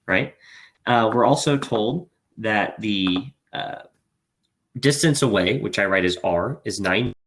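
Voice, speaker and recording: A man's voice: fairly low pitch, fairly bright tone. A man explaining a worked problem step by step as a tutor, informally thinking aloud. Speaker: physics tutor.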